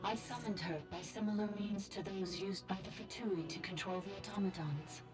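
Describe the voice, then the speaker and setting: A woman's voice: mid-range voice, normal speed, loud music behind the voice, close to an everyday voice. A woman playing an ancient sovereign priestess speaking through a robot in a video game, solemn, formal and cryptic.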